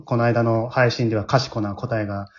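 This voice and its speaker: A man's voice: medium pitch, steady tone, normal speed, a crowd in the background. A man with a Kansai Japanese accent hosting a casual group chat, chuckling and encouraging the others as he moderates. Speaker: host of a podcast aftertalk. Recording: muffled lo-fi sound.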